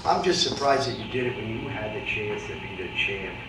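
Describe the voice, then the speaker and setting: A man's voice: fairly low pitch, lively intonation, brisk, over music, natural voice. A man playing a world-weary boxing manager in a staged reading, speaking bluntly and matter-of-factly as he lectures his fighter. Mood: stern, warning. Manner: blunt, cautionary, matter-of-fact. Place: live staged reading in a roomy indoor space. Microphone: distant video camera. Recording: echoey, noisy room, distant camera microphone.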